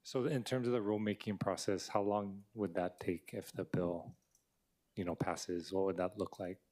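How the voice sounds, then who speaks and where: Deep-voiced councilman asking questions. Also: little pitch movement, a little mellow. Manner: measured, polite questioning. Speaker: council member.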